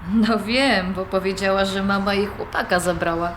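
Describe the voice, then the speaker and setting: Woman acting a young granddaughter, independent-minded, conversational, gently challenging, mid-pitched, audio drama, roomy sound. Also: steady tone, moderate pace, lightly acted. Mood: chatty. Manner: conversational, questioning, mildly protesting. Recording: roomy recording with background noise.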